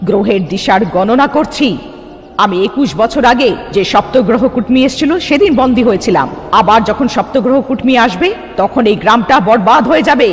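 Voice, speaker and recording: A man's voice: very high voice, expressive, a little fast. A man voicing a grave village mystic aunt in a cartoon, giving solemn, emphatic warnings and explanations. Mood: grave recounting. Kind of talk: dubbed Bengali cartoon dialogue. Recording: long reverb, music underneath.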